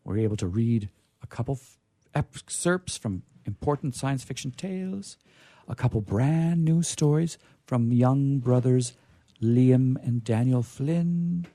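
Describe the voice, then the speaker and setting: A man's voice: mid-range voice, animated, a little slow, warm tone, no room sound. A man hosting a live radio theater show in a florid, playful, theatrical manner.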